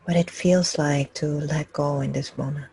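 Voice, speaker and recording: A woman meditation teacher guiding a group gently and calmly, speaking in short, unhurried phrases with long pauses. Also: sits low, breathy edge. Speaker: meditation teacher leading a live group session. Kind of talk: guided meditation, slow and gentle instruction.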